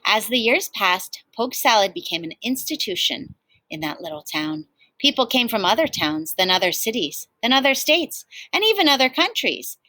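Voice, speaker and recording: A woman reads a picture book aloud as a teacher would for young children. Her voice is bright and forward, recorded dry with hardly any room sound. She tells the story expressively and speaks directly to her listeners. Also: medium pitch, no echo.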